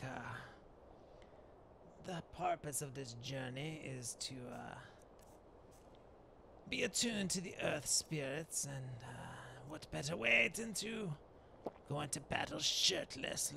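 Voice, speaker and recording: A man voicing a mild, courtly Dark Elf shaman in improvised video game roleplay, musing aloud in hesitant, old-fashioned phrases. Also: on the high side, heavily acted. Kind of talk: in-character improvised gameplay narration. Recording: clearly noisy background.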